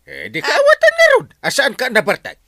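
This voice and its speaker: Comic radio village captain: Ilocano accent, excitable, stammering, animated pitch, dry sound. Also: male voice, brisk, no room sound, full character voice. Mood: questioning, exasperated. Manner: stammering, whiny, exclaiming. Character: flustered, excitable village captain, comic middle-aged man. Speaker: actor in a radio comedy drama. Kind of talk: Ilocano radio comedy drama acting.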